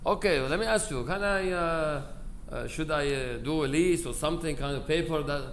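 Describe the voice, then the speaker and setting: Guy, bit high voice, rabbi doing a chatty eulogy w/ quoted back and forth, noisy live recording. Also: brisk, fairly bright tone. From Aleppo, Syria. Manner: conversational storytelling, acting out quoted dialogue, broken English grammar. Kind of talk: informal memorial speech with personal anecdotes. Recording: live speech with clear background noise.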